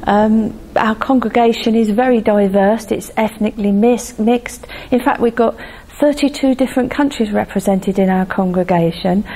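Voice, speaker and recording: A woman's voice: a little high, moderate pace, few pauses, mellow. A woman from a church congregation giving a statement for a video letter, hesitant, with frequent ums. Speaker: church member.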